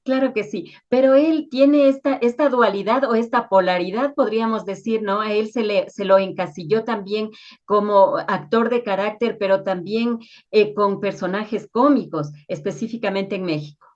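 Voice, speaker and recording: Slightly lo-fi recording, woman, mid-pitched voice, conversational interviewer. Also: moderate pace, darkish tone. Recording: slightly dull, lo-fi sound.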